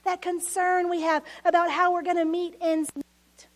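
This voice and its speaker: A woman's voice: very high-pitched, little pitch movement, normal speed. A woman with a Texas accent preaching a sermon. She is earnest and emphatic, and sometimes drops her voice almost to a whisper. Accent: Texan.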